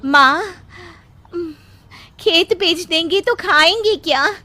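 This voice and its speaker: A woman's voice: very high voice, takes it slowly, pushed and bright, soft background music, voice pushed up, exaggerated voice. A woman voicing a dutiful, determined young village daughter-in-law in a cartoon story, respectful and earnest. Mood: worried.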